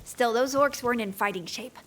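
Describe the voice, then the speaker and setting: A woman's voice: a little high, brisk, fairly bright tone, slight background noise, natural voice. A woman voicing a spirited young wizardess in a comic fantasy video game, with theatrical delivery. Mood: wary. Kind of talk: video game character acting.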